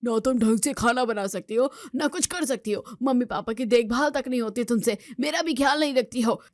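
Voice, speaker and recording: A woman's voice: on the high side, fairly quick, dry recording, studio quality, natural voice. A woman playing a short-tempered, fault-finding husband in a family drama, nagging and complaining. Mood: angry. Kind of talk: Hindi family drama voice acting.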